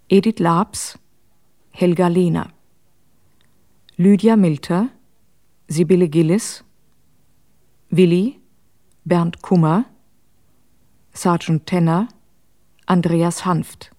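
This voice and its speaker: A woman's voice: lowish voice, dark tone. A woman announcer reading scripted radio drama credits in a formal, factual manner.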